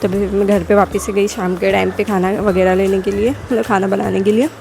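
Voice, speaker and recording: A woman's voice: mid-range voice, flat delivery, brisk, gravelly, a little mellow, soft background music. A young woman with a sore throat narrating her family vlog as a casual voice-over, rambling and correcting herself as she goes. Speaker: vlogger.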